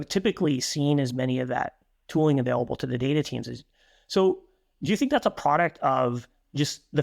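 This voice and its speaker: Guy hosting a podcast from home, studio mic, gravelly voice, dry sound. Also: medium pitch, no echo. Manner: thoughtful, lots of you knows. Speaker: podcast host. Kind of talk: interview questions. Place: home room. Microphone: studio mic on a boom arm.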